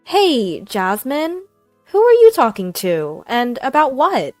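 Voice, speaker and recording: A woman's voice: high-pitched, huge pitch swings, slow pace, a little mellow, no echo, faint music behind, voice pushed up, exaggerated voice. A woman voicing a devoted wife in a narrated story, earnest and emotional. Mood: curious. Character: devoted, pleading young wife. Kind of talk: acted dialogue in narrated story.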